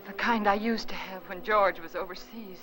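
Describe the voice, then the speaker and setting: An actress playing a frightened, earnest housewife with a breathless, dramatic delivery in 1950s Hollywood film style. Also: female voice, medium pitch, fairly even intonation, normal speed, a bit bright, slight background noise, lightly acted, low-quality recording. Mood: haunted, anxious. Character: anxious, pleading, frightened young housewife. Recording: vintage 1950s film soundtrack with music.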